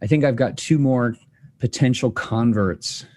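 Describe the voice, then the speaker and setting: A man's voice: mid-range voice, unhurried, darkish tone, a little hiss or hum. A man hosting a podcast, chatting casually and rambling with fillers and restarts. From United States. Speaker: podcast host. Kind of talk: casual chat.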